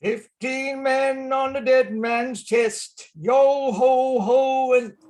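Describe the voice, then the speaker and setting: A man playing a gruff, wary, hard-drinking old sea captain in a radio play. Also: very high voice, steady tone, extremely slow, noisy background, heavily acted. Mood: singing.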